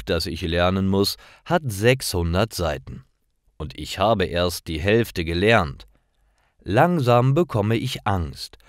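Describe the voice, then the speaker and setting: A man narrating short scripted stories for language learners, with careful, deliberate enunciation. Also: on the low side, no echo, studio quality.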